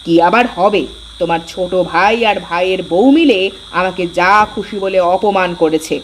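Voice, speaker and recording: A woman's voice: fairly low pitch, normal speed, close to an everyday voice. Woman as a bossy, touchy Bengali sister-in-law, noisy recording. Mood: sulky. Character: bossy, easily offended elder Bengali daughter-in-law. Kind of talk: cartoon story voice acting.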